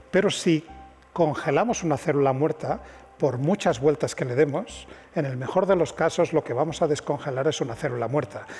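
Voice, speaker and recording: A man's voice: mid-range voice, a little mellow, husky, long reverb tail. A man, a scientist guest explaining his field on a panel, patient and emphatic. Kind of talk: panel discussion.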